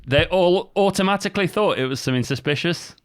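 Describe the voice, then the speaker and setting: A man's voice: high-pitched, quick pace, no echo. Easygoing guy on a podcast, talks things through with lots of "you know", dry mic. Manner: easygoing, explanatory, many fillers. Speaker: podcast co-host. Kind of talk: casual chat.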